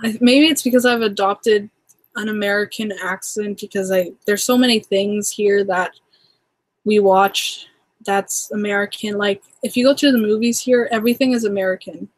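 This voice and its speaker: A woman's voice: medium pitch, fairly even intonation, normal speed, no echo, low-quality recording. A young woman from Toronto with a Canadian accent, casually chatting on a livestream, rambling with lots of fillers and restarts. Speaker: livestream host.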